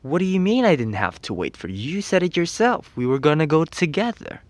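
A man's voice: fairly high pitch, big pitch swings, a little fast, dry recording. A young man playing a grumpy, teasing tsundere teenage best friend in an ASMR roleplay, scolding in an exasperated, whiny way.

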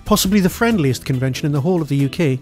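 A man's voice: fairly high pitch, lively intonation, rapid-fire, darkish tone, soft background music. A man hosting a podcast, delivering a review as a monologue and often answering his own rhetorical questions.

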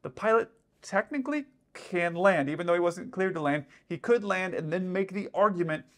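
A man's voice: high voice, moderate pace. Man explaining aviation to camera. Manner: explanatory, with frequent "let's say" asides. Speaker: airline pilot commenting on radio audio. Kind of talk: casual commentary to camera. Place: dim indoor room.

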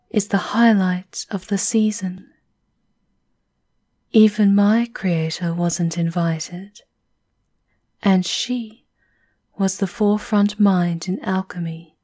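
A woman voicing a prim, coolly menacing servant tailor in a measured, formal half-whisper for an ASMR roleplay. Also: mid-range voice, a little mellow.

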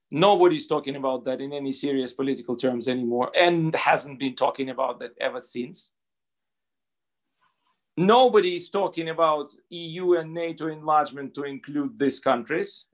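Middle-aged man, slightly high voice. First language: Russian. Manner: earnest, argumentative, repeats words as he restarts. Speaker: panelist. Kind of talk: panel discussion remarks. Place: home room indoors. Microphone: video call.

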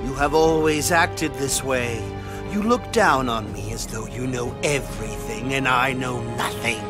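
An actor playing an arrogant, unhinged villainous mage in a video game, resentful, theatrical and boastful. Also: male voice, medium pitch, a little slow, lightly acted. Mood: bitter, mocking. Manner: theatrical, boastful, cackling and shouting. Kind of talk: dramatic video game acting. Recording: loud music under the voice.

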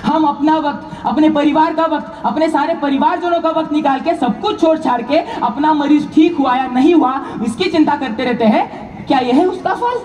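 A man playing a beaten but dutiful doctor in a stage drama, pleading in loud, declamatory, repetitive phrases. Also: very high voice, normal speed. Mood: anguished. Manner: declamatory, repeats phrases for emphasis. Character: beaten, anguished yet dutiful male doctor, pleading to society. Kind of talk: loud theatrical stage monologue. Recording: echoey hall from far off, noisy.

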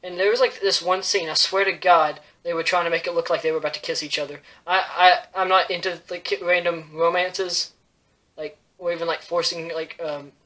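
A young man giving a casual movie review to camera in a bedroom, halting, rambling and self-deprecating. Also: on the high side, steady tone, pushed and bright, low-quality recording. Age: young adult. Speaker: host of a movie review show. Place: small bedroom, indoors.

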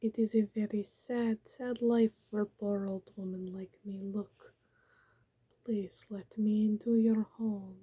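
A young woman whispering as a vampire disguised as a pitiful old woman, slow and pleading. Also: medium pitch, flat delivery, muffled recording. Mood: mournful pleading. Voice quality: breathy whisper. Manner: slow, pleading, mock-mournful. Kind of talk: whispered ASMR roleplay. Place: small room with pink backdrop.

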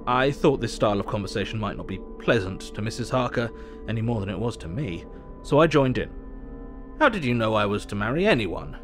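An actor playing an earnest asylum doctor narrating his diary in measured, formal English. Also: lowish voice, natural voice. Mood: reflective, uneasy.

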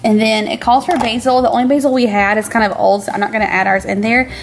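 A woman's voice: a little high, fairly even intonation, fast, background noise. A woman in her thirties hosting a home cooking vlog in a chatty, informal way, narrating casually as she goes. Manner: chatty, informal, laughs easily. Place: home kitchen.

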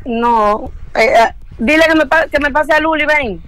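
A woman's voice: high-pitched, a little slow, fairly bright tone. A young woman, confused and repeating herself during a phone call. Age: young adult. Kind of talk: casual phone conversation. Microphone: telephone call.

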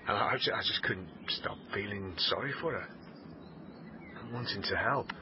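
An actor playing a weary, sardonic British police officer in a radio drama, speaking in a measured, halting way. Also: male voice, on the low side, close to an everyday voice. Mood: weary, subdued. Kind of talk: naturalistic radio drama acting. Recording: dull radio recording, clear background noise.

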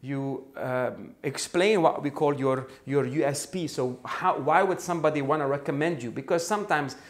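Brief: Arabic-native male sales trainer, 40s, lively anecdotal delivery, studio lapel mic. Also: a little high, normal speed. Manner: animated, acts out anecdotes with sound effects. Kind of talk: storytelling interview answer. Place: studio set with orange backdrop.

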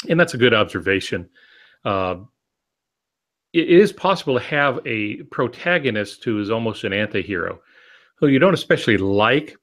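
A man in his sixties co-hosting a podcast, explaining points from a home office on a video call. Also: fairly low pitch. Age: 60s. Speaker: podcast co-host. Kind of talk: explanatory podcast talk on writing.

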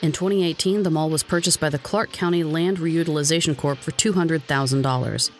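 A man with an American accent reading scripted documentary narration in a measured, informative way. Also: on the high side, fairly even intonation. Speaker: video narrator. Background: background music.

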